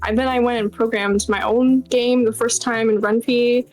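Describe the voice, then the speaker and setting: A woman's voice: a little high, fairly even intonation, normal speed, a little mellow, faint music behind, poor audio. A young woman chatting casually as an interview guest, with a bubbly, enthusiastic manner. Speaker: game developer guest.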